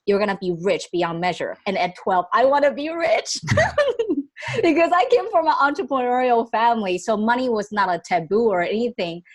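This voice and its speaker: A young woman from Taiwan whose first language is Chinese, chatting as a podcast guest with frequent laughs and restarts. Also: mid-range voice. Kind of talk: casual interview chat.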